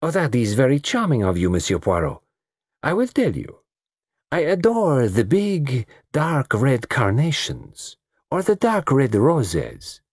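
Man putting on a coquettish South American woman, lilting, husky. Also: medium pitch, huge pitch swings, darkish tone, dry recording, clean studio sound, voice pushed up, exaggerated voice. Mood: arch. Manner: coquettish, drawn-out phrasing.

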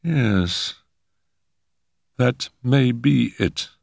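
A man voicing a calm, perceptive gentleman in a storybook reading, speaking quietly and deliberately. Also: medium pitch, gravelly, no echo, clean studio sound, voice pushed up, exaggerated voice.

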